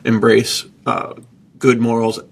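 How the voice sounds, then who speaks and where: A man with a husky, gravelly voice, hosting a casual podcast discussion in an encouraging, opinionated manner. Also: mid-range voice, completely flat delivery, takes it slowly. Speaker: podcast host.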